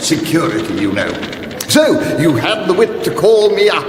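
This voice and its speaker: A doddering, apologetic old wizard from a radio fantasy spoof. The high voice swoops through rambling, distracted instructions, ringing with long reverb over music. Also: male voice, very animated, normal speed, background noise. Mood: fussy, apologetic. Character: doddering, apologetic old male wizard, speaking as recorded vision. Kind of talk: comic fantasy radio drama.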